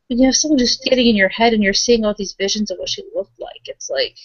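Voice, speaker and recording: A woman playing an earnest, conciliatory young woman in a tabletop roleplaying game, speaking calmly and reflectively. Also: fairly high pitch, quick pace, breathy edge, no room sound, highs cut off.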